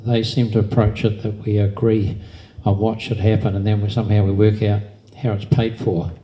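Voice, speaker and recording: A man chairs a public meeting and explains things patiently. His low voice is very dark and flat, with little rise and fall. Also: moderate pace, rough recording.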